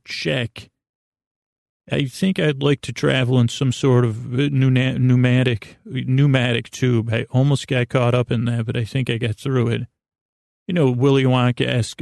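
A man hosting a sleep podcast in a creaky, soothing voice, meandering and halting through a lulling bedtime monologue full of digressions. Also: medium pitch, a little mellow, dry recording, clean studio sound. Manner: meandering, halting, over-talking with many digressions. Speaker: podcast host.

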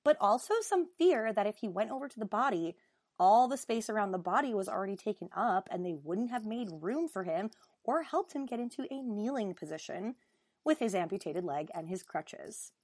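A woman podcast host reads fan mail aloud in a dramatic, deliberate way. Her pitch is middling, and the recording is close and dry, with very little room around the voice. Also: expressive, darkish tone, dry recording. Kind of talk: dramatic reading of a fan email.

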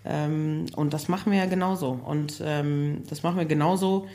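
Hoarse, chatty woman with fillers. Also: sits low, normal speed, dark tone. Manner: chatty, laughs often, many fillers. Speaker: guest, building project manager. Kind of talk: casual podcast chat.

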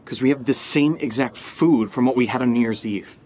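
Actor as a blunt, exasperated man, medium pitch. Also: moderate pace, a little mellow, close to an everyday voice. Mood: alarmed, bewildered. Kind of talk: horror audio drama acting. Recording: clearly noisy background ambience.

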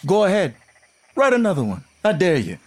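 Cheeky, lively male needler. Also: sits high, a little fast, a little hiss or hum. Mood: defiant. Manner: teasing, defiant. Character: cheeky, needling male civilian pestering a detective. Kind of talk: audio fiction acting.

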